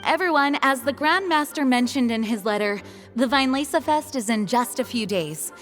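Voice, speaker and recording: A composed leader of a knightly order addresses the gathering with calm, polite authority. Her voice sits a little high, and a long hall reverb carries it over faint music. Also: normal speed. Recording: game cutscene with reverb and music.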